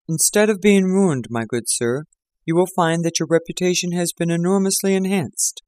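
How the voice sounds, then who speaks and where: A man voicing a calm, assured gentleman detective with a dryly amused manner. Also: high-pitched, normal speed, smooth, no echo, voice pushed up, lightly acted.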